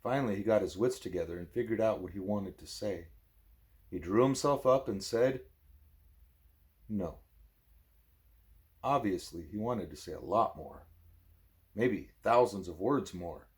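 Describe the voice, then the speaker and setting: Low-pitched man reading a bedtime story. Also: moderate pace. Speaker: parent reading a book aloud to a child.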